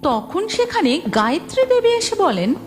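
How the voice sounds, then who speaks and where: A woman narrates a cartoon story with a steady storybook delivery. Her voice sits high, and faint music runs behind her. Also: a little slow.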